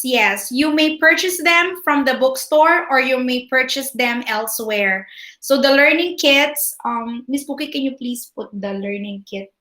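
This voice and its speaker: Slow-spoken Filipino woman, echoey room. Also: on the high side, bright, forceful tone. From Philippines. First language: Tagalog. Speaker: school administrator hosting a parent orientation. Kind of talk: informal question-and-answer presentation to parents. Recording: roomy, echoing online meeting audio.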